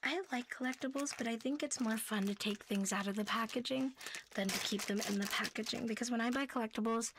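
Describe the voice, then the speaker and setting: A woman hosting a soft ASMR show-and-tell in a playful, cutesy catgirl persona, breathy and often slipping into a whisper. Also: mid-range voice, noisy background. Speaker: ASMR host.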